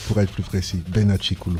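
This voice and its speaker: Earnest low-voiced man, mellow tone. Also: fairly even intonation, moderate pace, soft background music. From France, Congolese descent. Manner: earnest, passionate, rambling with restarts. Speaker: reggae artist guest. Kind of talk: casual radio interview.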